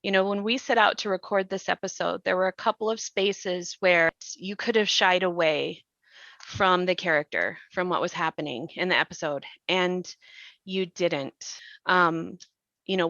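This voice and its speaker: A woman's voice: lowish voice, moderate pace, fairly bright tone, dry recording, lo-fi sound. A woman hosting a reflective podcast discussion, explaining things in a thoughtful way. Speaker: podcast host and actor.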